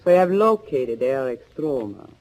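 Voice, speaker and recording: An actress playing a determined German underground agent in a 1940s radio thriller, speaking in measured, formal phrases. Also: female voice, a bass voice, animated, darkish tone. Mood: firm, resolute. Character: German underground woman recounting a vengeance mission, grave and determined. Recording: muffled 1940s radio recording.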